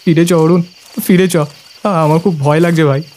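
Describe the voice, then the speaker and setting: A man's voice: a little high, steady tone, normal speed, darkish tone, natural voice. An actor playing a frightened village boy in an audio horror drama, pleading in a panicky, breathless way. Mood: terrified.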